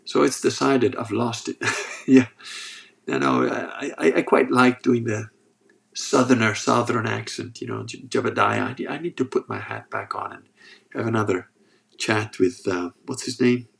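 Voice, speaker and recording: A man's voice: fairly low pitch, steady tone, moderate pace, creaky, fairly bright tone. A man with a sore throat hosting a casual livestream, reading chat and joking as he rambles. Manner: rambling, joking, restarts often.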